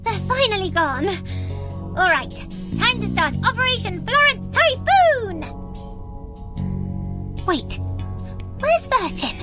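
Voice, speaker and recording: An actress playing an excitable, haughty but easily flustered young noble girl in a visual novel game. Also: very high voice, slow, pauses often, full character voice. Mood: excited. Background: music.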